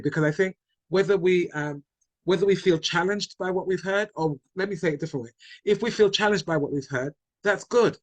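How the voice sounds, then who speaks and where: A man's voice: sits high, no room sound. A man chairing an online church webinar, thanking speakers and repeating words as he gathers his thoughts. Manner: warm, repetitive, thanking, hesitant. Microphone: video call.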